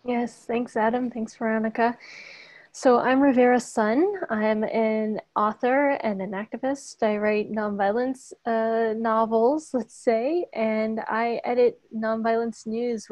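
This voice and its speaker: Woman activist, fairly high voice, friendly, dry close sound. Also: fairly even intonation, unhurried, a little mellow, no room sound. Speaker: author and activist. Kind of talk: webinar introduction. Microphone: video call.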